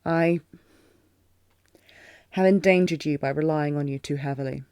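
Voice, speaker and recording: A woman's voice: sits low, normal speed, mellow, smooth, dry recording, studio quality. A woman playing a commanding, tormented queen in a fantasy audio drama, regal and restrained but intense. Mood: grave, defiant. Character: commanding, tormented queen in love with her general.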